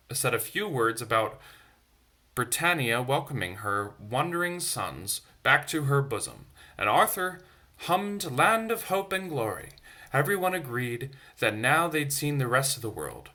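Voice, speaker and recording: A man reading a children's bedtime story aloud in an expressive, dramatic storyteller's manner. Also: mid-range voice, unhurried.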